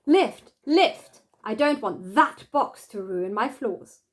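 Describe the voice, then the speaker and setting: A woman's voice: fairly high pitch, lively intonation, slow pace. A woman voicing a bossy, house-proud mother in a children's storybook. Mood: commanding. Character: gruff bossy mother fussing over her floors.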